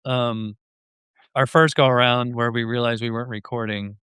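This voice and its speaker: Bright-voiced man chatting casually about music. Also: medium pitch, steady tone, normal speed, clean tone, dry recording, clean studio sound. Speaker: podcast host.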